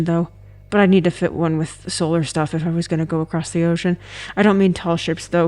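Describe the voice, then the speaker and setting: A woman playing a reserved, awkward enthusiast in an audio drama, deadpan and earnest in her delivery. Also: on the low side, close to an everyday voice.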